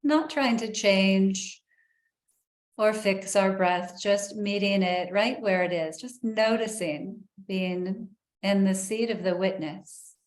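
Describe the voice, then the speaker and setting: A woman leading a guided breathing meditation in a soft, soothing way, with long pauses. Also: mid-range voice, reverberant.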